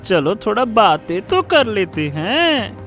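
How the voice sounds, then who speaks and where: A man's voice: sits high, very animated, takes it slowly, fairly bright tone, soft background music, heavily acted. A man voicing a smug, leering village thug and unwanted suitor in a cartoon story, taunting and swaggering. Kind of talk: dramatized Hindi cartoon story.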